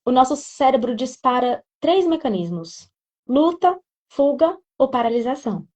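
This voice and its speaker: A woman's voice: high-pitched, animated, normal speed, darkish tone, distant mic. A woman in an interview explaining how people learn English, talking with fillers and restarting her sentences. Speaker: English teacher, guest. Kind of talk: conversational interview.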